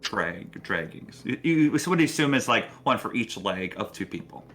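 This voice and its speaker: Bright-voiced man running a tabletop game. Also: lowish voice, big pitch swings, moderate pace, soft background music. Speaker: game master. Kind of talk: improvised tabletop game narration, casual.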